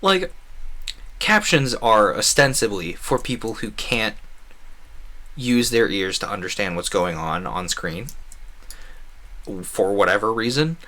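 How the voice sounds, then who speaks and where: Animated, bright-voiced man rambling on stream. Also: on the low side, slow pace, pauses often. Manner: chatty, animated, digressive, opinionated. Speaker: game streamer. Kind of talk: casual rambling game stream commentary.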